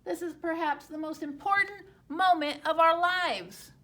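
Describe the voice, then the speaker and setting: A woman plays a fussy gander from a picture book, high and bright. He is stubborn and earnest, speaking with proper, honking emphasis. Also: a little slow, heavily acted. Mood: indignant.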